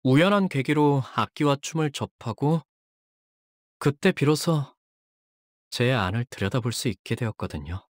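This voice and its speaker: Young male game character, played by an actor, polite, soft-spoken, dramatic, widely swinging pitch, dry close sound. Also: animated, moderate pace, long pauses, no room sound, natural voice. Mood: polite, upbeat. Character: earnest, anxious young man, a devoted shielding fighter; tormented young male zealot who purifies with fire. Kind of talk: video game character voice lines.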